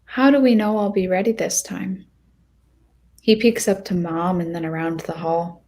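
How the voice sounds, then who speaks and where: A woman playing a hopeful, nervous young girl who tells her own storybook tale in a deliberate, expressive reading. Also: on the low side, close to an everyday voice. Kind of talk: first-person storybook narration.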